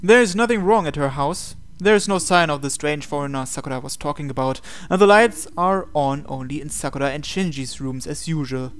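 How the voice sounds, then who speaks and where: A man's voice: on the high side, moderate pace, hardly any pauses, clear, resonant tone. A man hosting a game playthrough, reading visual novel narration aloud expressively with casual asides.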